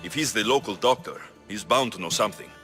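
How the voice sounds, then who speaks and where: An earnest mercenary hero from a video game set in ancient Greece. The voice is forceful and crisp-edged at a middle pitch. Light music plays underneath. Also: male voice, expressive, fast talker. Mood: calm, sincere. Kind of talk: video game cutscene acting.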